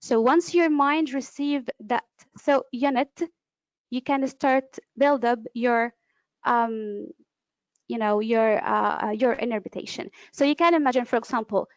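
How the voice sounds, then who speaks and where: A woman's voice: on the high side, measured pace, dry recording. Woman giving a webinar lecture. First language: Arabic. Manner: explanatory, with frequent fillers and restarts. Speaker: webinar presenter, interpreter. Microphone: computer mic, online webinar. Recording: dull, lo-fi webinar audio.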